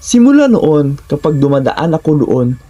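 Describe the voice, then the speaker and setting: Filipino man narrating horror, mellow voice. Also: on the high side, big pitch swings, moderate pace, dull audio. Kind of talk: scripted first-person horror narration.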